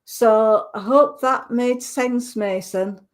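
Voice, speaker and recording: A woman with a Yorkshire accent giving a live psychic reading on the radio, gentle and reassuring, often restarting her sentences. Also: on the high side, slow pace, slight background noise.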